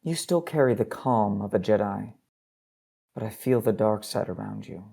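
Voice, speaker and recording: A man acting in an audio drama as a teasing, perceptive husband, with a gravelly, husky voice. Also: lowish voice, expressive, normal speed, mellow, full character voice. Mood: intimate, searching.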